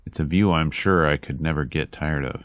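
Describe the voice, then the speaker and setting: Very deep-voiced man narrating dramatically. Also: moderate pace, slight background noise. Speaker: let's player reading a visual novel aloud. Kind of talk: dramatic read-aloud narration of a visual novel. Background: game music.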